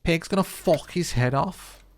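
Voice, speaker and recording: A man's voice: a little high, a little slow, voice pushed up, close to an everyday voice. A man voicing a brash, foul-mouthed street boy from a video game, swearing and talking about himself in the third person. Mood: taunting. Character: foul-mouthed, cocky street kid boy heckling police. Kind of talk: video game character voice acting.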